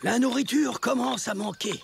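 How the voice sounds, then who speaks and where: A man playing a solemn, commanding village elder in a cartoon, declaiming ceremonially in a gravelly voice. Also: very high-pitched, normal speed, noisy background, exaggerated voice. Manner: pompous, ceremonial. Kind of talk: French cartoon dubbing, declamatory. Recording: loud music under the voice.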